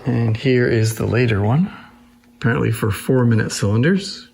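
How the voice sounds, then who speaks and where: A man's voice: mid-range voice, animated, moderate pace, darkish tone. A man giving an informal hands-on demonstration as a presenter, explaining things as he goes. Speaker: presenter showing antique phonograph machines. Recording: roomy echo, clear background noise.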